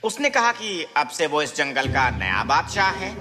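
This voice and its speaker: A small, crafty cartoon rabbit acted by a man with a high, very animated voice. He sounds polite and humbly deferential. The voice rings with long reverb over music. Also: unhurried. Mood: deferential, sly. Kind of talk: animated children's fable acting.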